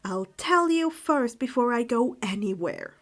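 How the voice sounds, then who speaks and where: A kind teenage boy wizard in a fantasy storybook, as a woman reader plays him. He is earnest and quick to apologize, with a light, high voice. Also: lively intonation. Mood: soft, apologetic.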